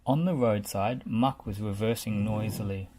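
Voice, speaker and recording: A man reading a children's storybook aloud in an expressive narrating style. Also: on the low side, normal speed, background noise. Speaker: storybook reader.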